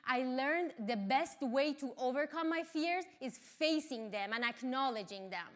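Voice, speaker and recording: Slow, earnest woman. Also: high voice. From Iran. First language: Farsi. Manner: earnest, measured delivery. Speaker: speaker. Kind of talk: motivational talk.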